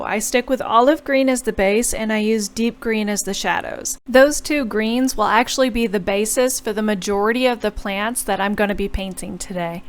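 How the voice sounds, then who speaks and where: A young woman chatting through a journal painting tutorial as a voice-over, explaining each step and apologizing now and then. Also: on the high side. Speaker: bullet journal creator.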